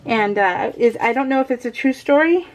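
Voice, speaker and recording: A woman chatting casually to her viewers as a craft vlogger, in an excitable, rambling, giggly way. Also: sits high, normal speed, low-quality recording. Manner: addresses viewers familiarly. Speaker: craft vlogger hosting a scrapbooking video.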